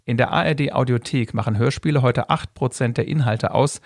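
A man narrating a scripted radio documentary in a measured, factual way. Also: medium pitch, no echo, studio quality.

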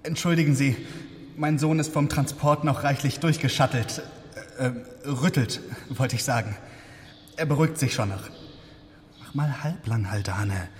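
A man's voice: mid-range voice, a little slow, long pauses, in a large hall, slight background noise, natural voice. A man playing a boastful, doting father in a children's radio play, with a breezy, showy manner. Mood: placating. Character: boastful, doting father, newly arrived on space ark.

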